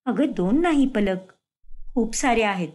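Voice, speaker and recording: A woman's voice: on the high side, animated, unhurried, a little mellow. A woman doing an eager young mistress. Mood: explaining.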